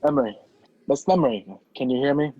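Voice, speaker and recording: A man playing a determined, wrongly accused gentleman on the run in an amateur radio play, over a video call. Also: mid-range voice, fast, dry recording, close to an everyday voice. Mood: gentle, grave. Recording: dull, lo-fi video-call audio.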